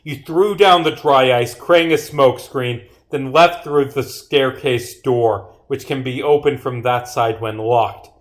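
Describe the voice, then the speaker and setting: Male streamer and indie developer, medium pitch, theatrical script read-through mixed with muttered asides. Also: unhurried, hardly any pauses. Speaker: streamer and visual novel developer. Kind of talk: livestream of reading own game script aloud with commentary.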